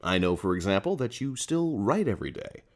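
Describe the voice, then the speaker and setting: A man's voice: lowish voice, sing-song, a little fast. An actor playing an earnest, polite, hesitant male literary interviewer in an audio drama.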